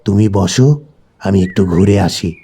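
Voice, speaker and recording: A man's voice: deep voice, unhurried, warm tone, husky, full character voice. A man voicing an evasive male friend in an audio horror story, casual, reassuring and matter-of-fact. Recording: voice over background music.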